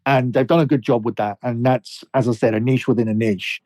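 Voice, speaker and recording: A man co-hosting a podcast who explains wrestling characters in a measured, analytical way. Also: medium pitch, steady tone, darkish tone, no echo, clean studio sound. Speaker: podcast co-host.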